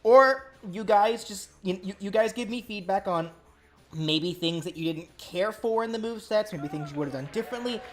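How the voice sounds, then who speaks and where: A woman's voice: mid-range voice, moderate pace. A woman hosting a casual live stream, rambling and restarting her words as she talks to chat.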